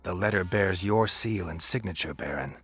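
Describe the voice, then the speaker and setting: A man's voice: low voice, moderate pace, a bit bright, breathy edge, soft background music, exaggerated voice. A man playing a stoic, world-weary mercenary monster hunter in a fantasy video game, speaking in a terse, dry, understated way. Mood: pressing. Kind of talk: dramatic fantasy game acting.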